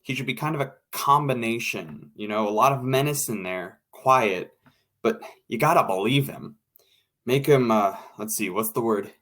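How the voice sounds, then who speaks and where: An actor playing a tactful but fussy radio director, hesitant and repeating himself, in an old-time radio drama. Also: male voice, medium pitch, normal speed, a bit bright, lightly acted. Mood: searching, fussy.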